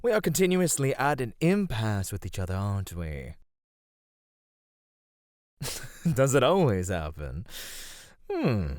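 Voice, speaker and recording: A man's voice: medium pitch, sing-song, takes it slowly, long pauses, darkish tone, no echo, clean studio sound. A man voicing a sly, flirty immortal god of beauty in an ASMR roleplay, teasing and coaxing without hurry.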